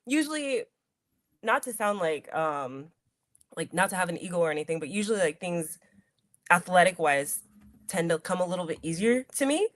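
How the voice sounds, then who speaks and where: A woman's voice: fairly low pitch, big pitch swings, moderate pace, no room sound. A woman who wrestles, chatting as an interview guest in an upbeat, giggly way with plenty of "like". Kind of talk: casual interview chat.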